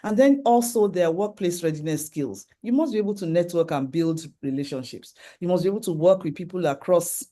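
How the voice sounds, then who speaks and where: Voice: medium pitch, animated, a little fast, hardly any pauses, mellow. A middle-aged speaker with a Nigerian accent giving a conference presentation with slides, earnestly working through lists of points and often restarting sentences.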